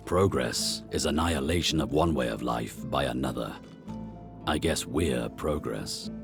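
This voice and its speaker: Casting: fatalistic fantasy hero, extremely low voice, grave, terse, music underneath. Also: male voice, unhurried. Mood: grim resignation. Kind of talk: fantasy game acting. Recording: music over the voice.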